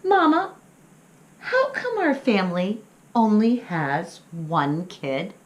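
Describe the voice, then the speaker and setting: A woman's voice: mid-range voice, sing-song, slow pace. A woman voicing a curious, eager little wolf pup in a children's storybook, with childlike, emphatic questions. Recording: roomy, echoing sound.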